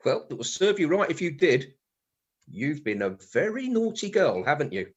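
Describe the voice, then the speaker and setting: Radio comedy: sardonic British husband, husky, swinging widely in pitch, prone to grumbles and exclamations. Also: male voice, big pitch swings, moderate pace, lo-fi sound. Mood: wry, teasing. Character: grumbling, wry British husband, bored amateur stargazer. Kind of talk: radio play comedy acting, muttered monologue.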